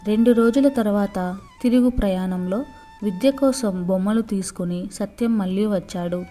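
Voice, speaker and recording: Mellow woman storyteller, soft music behind. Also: medium pitch, moderate pace. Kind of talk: scripted narration of a moral story for children.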